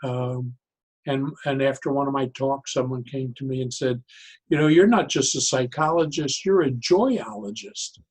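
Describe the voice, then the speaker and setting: An older man speaking as an interview guest, telling stories conversationally in a reflective, halting way with pauses mid-phrase. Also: mid-range voice, creaky, slightly rough. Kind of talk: conversational storytelling.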